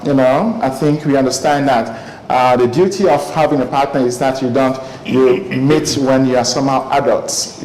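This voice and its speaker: A man's voice: mid-range voice, a little mellow, a little hiss or hum. A man giving a talk to a live audience, thoughtful and hesitant, with many fillers and restarts. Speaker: speaker addressing an audience. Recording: echoey room.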